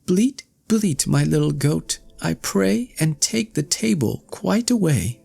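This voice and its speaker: A man's voice: a little high, animated, takes it slowly, creaky, mellow, slightly rough, voice pushed up, heavily acted. A man voicing a meek, hungry young girl who pleads in a plaintive voice, in a read-aloud fairy tale. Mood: content.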